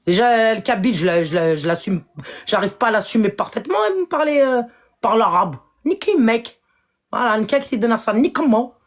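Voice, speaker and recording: A woman's voice: fairly high pitch, expressive, brisk. A woman from France ranting on a live stream, scolding her commenters in an indignant, confrontational way. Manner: indignant, confrontational, swings between shouting and muttering; addresses viewers directly, scolds commenters.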